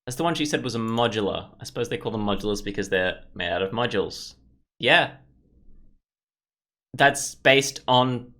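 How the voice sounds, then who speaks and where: A man's voice: on the low side, bright, forceful tone. A young man hosting a let's play, talking in an informal, rambling and sweary way. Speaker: gaming video host. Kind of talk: casual let's play commentary.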